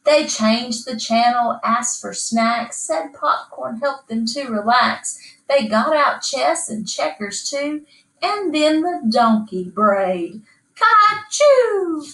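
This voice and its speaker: A woman's voice: on the high side, animated, takes it slowly, vocal fry, a bit bright. A middle-aged woman reading a rhyming children's picture book aloud in a rhythmic, dramatized way. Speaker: storybook reader. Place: small indoor room. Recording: echoey room, distant microphone.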